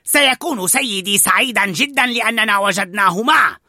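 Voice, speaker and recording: A storyteller voicing a gruff, commanding male guard dog who barks orders harshly in a storybook reading. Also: medium pitch, normal speed, sharp tone, no room sound, studio quality, pitched above the performer's own voice.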